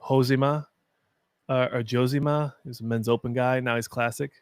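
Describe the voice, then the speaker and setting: A young man with a deep voice, chatting casually and giving opinions while hosting a live-stream watch party. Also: fairly even intonation, normal speed, smooth, no echo. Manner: chatty, opinionated, frequent fillers.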